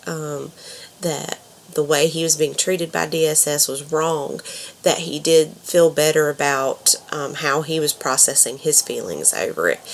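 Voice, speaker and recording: Young woman, congested from a cold, low voice, halting casual delivery full of fillers. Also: slight background noise. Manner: hesitant, many ums and you knows. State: stuffed up from a cold. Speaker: counselor.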